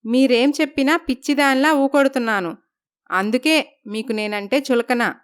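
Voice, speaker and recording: A woman's voice: sits high, normal speed, smooth, natural voice. A woman playing an anxious, wronged young wife. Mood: reproachful. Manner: reproachful, pleading.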